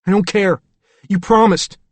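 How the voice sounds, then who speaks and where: A man reading an audiobook, voicing a frightened little boy who pleads in a high, urgent voice. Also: steady tone, measured pace, dry recording. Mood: upset pleading.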